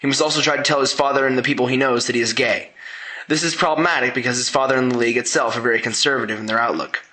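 A man's voice: medium pitch, fairly even intonation, very fast, sharp tone. A teenage boy reading a scripted book review for a podcast. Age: teens.

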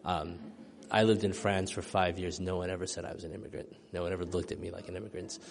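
Middle-aged man, author at a reading, low and even voice with lingering hall echo. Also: quick pace, gravelly. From United States. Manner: thoughtful, soft-spoken with many ums and restarts. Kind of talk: reading then answering audience questions. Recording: long hall reverb.

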